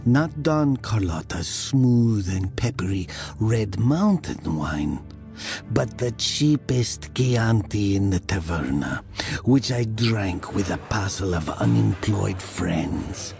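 A man's voice: animated, takes it slowly, exaggerated voice. A man acting as a brooding laborer who narrates his grim past in an audio drama, often dropping to a whisper. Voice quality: gravelly, low. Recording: music under the voice.

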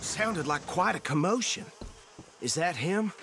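A man's voice: sits high, lively intonation, measured pace, noisy background. An actor playing a bossy, anxious deputy lawman, urgent and officious, in a dramatic game. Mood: pleased, officious. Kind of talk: dramatic video game acting.

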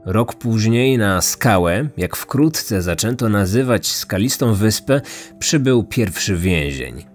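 A man narrating a scripted true-crime podcast as its host, measured and serious. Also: on the low side, animated. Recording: music bed under voice.

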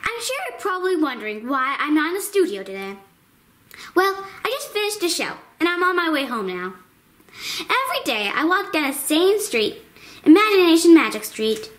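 A woman's voice: extremely high pitch, sing-song, moderate pace, a bit bright, slight background noise, heavily acted. A woman voicing a bubbly, girlish, imaginative hand puppet host in a children's show, with an exaggerated singsong delivery. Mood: cheerful, chatty.

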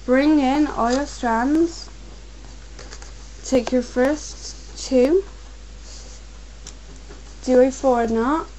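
Young woman tutor, getting a cold, calm and repetitive, recorded on a computer mic at her desk. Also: sits high, a little hiss or hum, low-quality recording. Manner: patient, repetitive instructions, slow and careful at times. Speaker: crafts tutorial maker. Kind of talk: step-by-step craft instruction.

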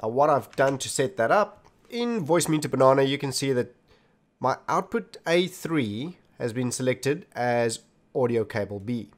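Guy doing a computer how-to, mid pitched voice, talks you through each step. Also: animated, moderate pace. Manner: instructional, addresses viewers directly. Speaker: tech tutorial host. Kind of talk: scripted-feeling screen tutorial narration, step by step. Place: indoors at a desktop computer, voice recorded while demonstrating. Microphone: desktop computer microphone.

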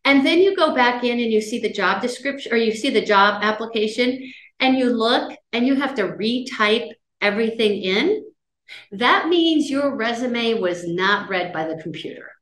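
Chatty woman presenter with a loud voice. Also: on the high side, expressive, normal speed, a little hiss or hum. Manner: chatty, conversational, checks in with listeners. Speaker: career services presenter. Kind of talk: casual lecture on job searching. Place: office, indoors, on a video call. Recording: roomy video-call audio.

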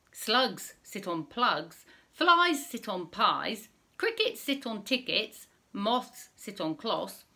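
Know-it-all storybook cat, slow chanting recital, bright and lively, woman voicing it. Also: on the high side, heavily acted.

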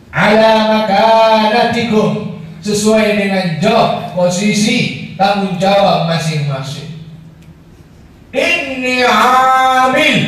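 A middle-aged man with an Indonesian accent giving a formal, measured and emphatic Friday sermon with Arabic recitation. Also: high-pitched. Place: indoor mosque prayer hall. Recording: very echoey hall, distant, faint noise.

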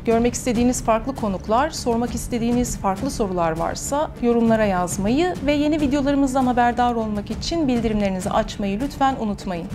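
A woman's voice: a little high, quick pace, few pauses, music in the background. A woman in her thirties with a Turkish accent, hosting an interview with prepared questions and presenting. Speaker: interview host. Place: dark studio, indoors.

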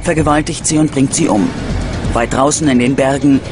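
A man narrating a scripted true-crime documentary in a measured, dramatic way. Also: medium pitch, over loud music.

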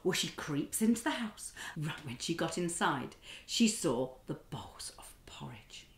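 A middle-aged woman leading a children's drama class and telling a story in an animated, expressive, encouraging way. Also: low voice, measured pace, roomy sound, in a whisper.

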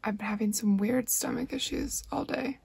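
A woman's voice: on the high side, normal speed, vocal fry, darkish tone, slightly rough. A young woman vlogging casually in a small wooden cabin, chatty and self-reflective, often laughing as she talks. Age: young adult. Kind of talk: casual vlog chat.

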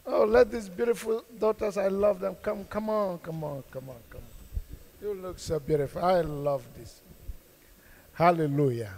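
A man leading a church welcome, speaking a slow, fervent blessing prayer into a handheld microphone in a church hall. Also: high voice, a little mellow, a little hiss or hum. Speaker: pastor.

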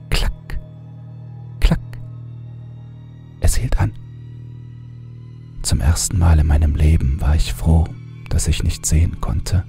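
A man narrating a horror audiobook in a hushed, often whispering manner, with music underneath. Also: low voice, creaky.

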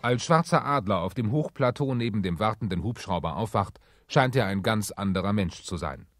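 A man narrating a scripted radio play with measured, clearly enunciated storytelling. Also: fairly low pitch, lively intonation.

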